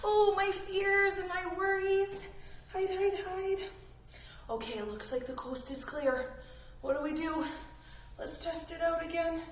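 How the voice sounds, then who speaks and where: A woman's voice: very high voice, fairly even intonation, measured pace. A woman leading a playful yoga lesson for children, encouraging and speaking to them directly as friends. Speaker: kids yoga instructor. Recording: roomy echo, distant mic, faint noise.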